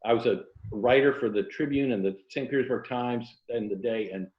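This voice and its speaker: A man's voice: mid-range voice, a little fast, echoey room, lo-fi sound. A middle-aged or older man from Florida talking casually and reflectively as an interview guest, telling stories about his own life. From Tampa, Florida.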